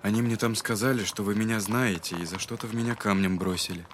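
An actor playing an earnest, gentle young man in an audio drama, polite and pleading. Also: lowish voice, steady tone, quick pace, noisy background, close to an everyday voice. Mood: gentle, puzzled. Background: music.

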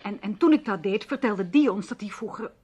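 A woman's voice: medium pitch, lively intonation, brisk, a little mellow, breathy edge, muffled recording. A woman acting an earnest, anxious sister in an old Dutch radio drama, hesitant but insistent, often repeating words. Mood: insistent, puzzled. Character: earnest, anxious sister questioning her brother.

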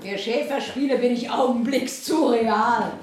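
A woman's voice: on the high side, unhurried, a little mellow, roomy sound, slight background noise, close to an everyday voice. A woman playing a haughty scheming queen. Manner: theatrical, haughty. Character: proud scheming middle-aged queen, mother of the prince. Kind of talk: 1970s radio play acting.

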